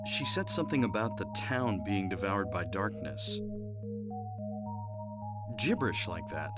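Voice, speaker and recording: An actor playing a worried father searching for his daughter in a horror video game, earnest and halting in a stilted way. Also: low-pitched, very animated. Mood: worried, puzzled. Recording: game audio under loud synth music.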